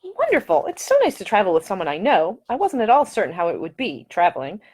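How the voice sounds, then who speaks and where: Chatty young English gentlewoman voiced by a female narrator: raised pitch, very animated, cheerful, solicitous, audiobook romance. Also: quick pace, husky. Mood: bright, chatty. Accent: English, upper-class. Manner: eager, solicitous, talkative.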